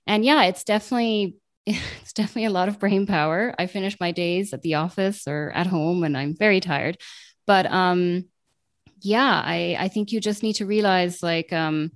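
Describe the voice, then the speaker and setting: A woman's voice: fairly low pitch, normal speed, no echo, studio quality. A woman in her late twenties, raised between Italy, the US and Ireland, answering interview questions in a chatty way with many ums and self-corrections. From born in Italy, raised in Italy, the US and Ireland. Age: late 20s. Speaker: guest, translator and radio journalist.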